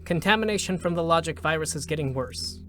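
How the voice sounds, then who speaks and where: A male narrator with a fairly high-set voice reads dramatic script solemnly. His phrases are short and deliberate, measured out over faint music. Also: animated, bright, forceful tone.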